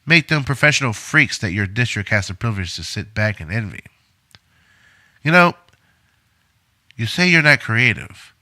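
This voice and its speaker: An actor playing a controlling, self-assured middle-aged inventor in an audio drama, speaking in a measured, instructive way. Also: male voice, medium pitch, big pitch swings, bright, forceful tone, no echo, clean studio sound. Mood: smooth, persuasive.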